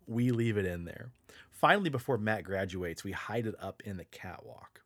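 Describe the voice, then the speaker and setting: A young man on a podcast, easygoing and chatty as he spins a story, with a low voice. The sound is dry and close to the mic. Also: normal speed, no room sound. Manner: laughs often, swears casually, relaxed storyteller. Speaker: podcast co-host. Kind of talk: casual storytelling chat.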